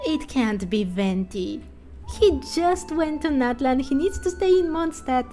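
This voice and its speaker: High, mellow-voiced woman playing a game. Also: animated, measured pace, faint music behind. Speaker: gamer voicing a let's play. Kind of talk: casual game commentary and reading.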